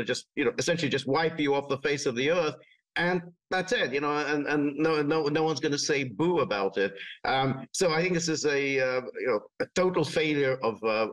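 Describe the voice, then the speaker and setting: A man's voice: mid-range voice, distant mic, low-quality recording. A middle-aged or older man giving unscripted political commentary as a panel guest, very hesitant and breaking his thoughts into short fragments.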